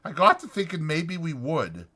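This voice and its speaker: A man's voice: on the high side, big pitch swings, normal speed, pushed and bright, breathy edge, voice pushed up, heavily acted. A man voicing a weary, resigned but protective ranch worker in an audiobook, with blunt, ungrammatical rural working-man speech. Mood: hopeless. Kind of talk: dramatized audiobook character voice.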